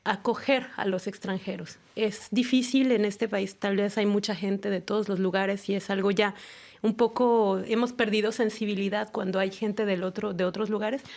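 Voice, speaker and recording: A woman's voice: medium pitch, fairly even intonation, normal speed, a little mellow. A woman from Mexico with a Mexican accent, answering interview questions in a studio. She is thoughtful and often hesitates while searching for words. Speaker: tequila ambassador, guest. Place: radio studio.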